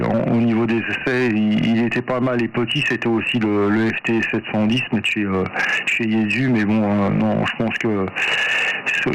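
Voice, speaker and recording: Clear noise and clicks surround a man talking over a radio link. His voice sits fairly low. He rambles informally and hesitantly, like an operator chatting with a fellow enthusiast. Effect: two-way radio transmission. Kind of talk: casual radio chat.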